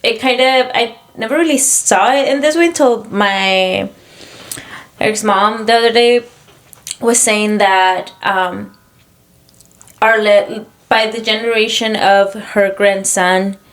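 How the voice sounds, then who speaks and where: A woman's voice: medium pitch, slow pace, a bit bright. A middle-aged woman co-hosting a casual podcast, talking conversationally with a lot of "yeah" and "like.". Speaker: podcast co-host. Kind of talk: casual chat.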